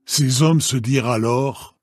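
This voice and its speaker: A man narrating a dramatised scripture reading in a measured, solemn manner. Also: mid-range voice, slightly rough, dry recording, clean studio sound. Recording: background music.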